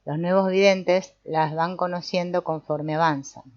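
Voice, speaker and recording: A woman voicing an old male sorcerer teacher, calm and didactic, speaking in a measured, explanatory way with the odd small restart. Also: on the low side, no echo, close to an everyday voice. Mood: explaining. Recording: dull, muffled audio.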